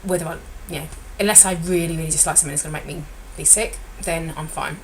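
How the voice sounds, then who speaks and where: A middle-aged woman with a slightly croaky voice, giving a chatty, rambling diet vlog update as a weight loss consultant and often correcting herself. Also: low-pitched, fairly even intonation, quick pace, slight background noise, low-quality recording.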